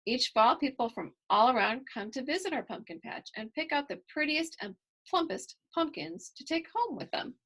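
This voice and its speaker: A woman's voice: high voice, sing-song, normal speed, fairly bright tone, pitched above the performer's own voice, heavily acted, low-quality recording. A woman voicing a bubbly, fashion-proud seven-year-old farm girl in a children's storybook, with enthusiastic emphasis. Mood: cheerful. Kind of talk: first-person children's storybook narration.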